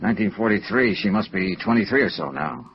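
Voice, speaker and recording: An actor playing a wry, hardboiled, middle-aged insurance investigator in a clipped, matter-of-fact 1950s radio noir style. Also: male voice, deep voice, animated, a little fast. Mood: dry, probing. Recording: muffled vintage radio recording with music.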